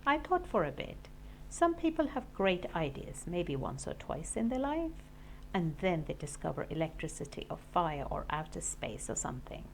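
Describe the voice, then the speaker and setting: A woman teacher reading a children's storybook aloud in an expressive, deliberate way. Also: on the low side, vocal fry, slight background noise, rough recording. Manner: animated, deliberate storytelling for young listeners. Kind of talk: expressive children's audiobook narration.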